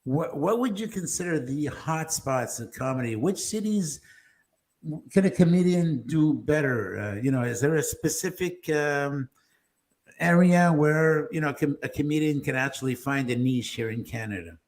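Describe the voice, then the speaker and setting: A man co-hosting a casual talk show, asking questions haltingly with fillers and a joking touch. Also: fairly high pitch, expressive. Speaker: co-host.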